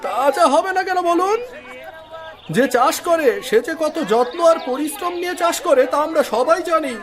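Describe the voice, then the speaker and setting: A woman's voice: high-pitched, lively intonation, moderate pace, a crowd in the background. A woman voicing a chirpy village fruit seller in a moral-story cartoon, with emphatic sales patter. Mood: lively, hawking.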